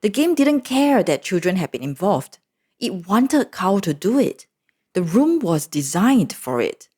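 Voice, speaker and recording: Animated woman storyteller. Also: medium pitch, measured pace, husky. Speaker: narrator. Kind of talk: storybook recap narration.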